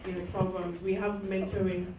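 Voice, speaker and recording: A woman describing mentoring programs in a measured way. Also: mid-range voice, little pitch movement. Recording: very echoey room, noisy, distant mic.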